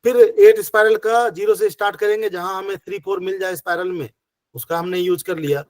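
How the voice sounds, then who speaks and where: A man with a high voice teaches over a video call, slowing down to count points step by step. His throat is sore and he fights a cough. The sound is dry and close. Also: fairly even intonation, no echo. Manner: patient, counts numbers step by step. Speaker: acupressure teacher. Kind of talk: online class explanation.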